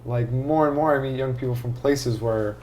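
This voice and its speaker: A man's voice: mid-range voice, normal speed, a little mellow, slight background noise. A man from California with a mild Californian accent, chatting casually in a rambling, tentative way. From California, United States. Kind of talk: casual chat.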